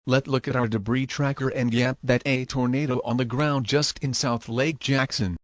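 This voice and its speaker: A man's voice: mid-range voice, fairly even intonation, normal speed, slight background noise, lightly acted. A man playing a local TV weatherman who gives news commentary on a tornado threat. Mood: serious warning. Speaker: TV weather presenter.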